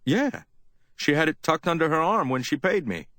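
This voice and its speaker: A man's voice: fairly high pitch, very animated, moderate pace, sharp tone, dry recording, studio quality, pitched above the performer's own voice, exaggerated voice. A man reading an audiobook, voicing a plain-spoken working cab driver in a folksy, raised voice. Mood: matter-of-fact.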